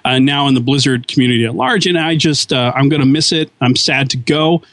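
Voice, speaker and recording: A man's voice: mid-range voice, a little fast, a bit bright, dry recording. A podcast co-host on his last show, chatting casually and earnestly, fond of corny dad jokes.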